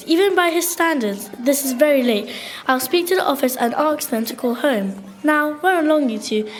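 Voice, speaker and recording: Caring but brisk teacher for a radio play, girl performer, high childlike voice over faint background noise. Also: lively intonation. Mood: brisk, concerned. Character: Miss Wright, brisk, caring female schoolteacher. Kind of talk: school radio play acting.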